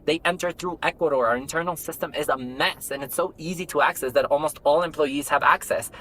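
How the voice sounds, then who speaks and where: A man's voice: a little high, brisk, bright, forceful tone, a little hiss or hum, poor audio. A man playing an exasperated bank IT worker in a podcast drama, explaining things insistently. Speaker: actor in an audio drama. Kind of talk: acted podcast drama dialogue.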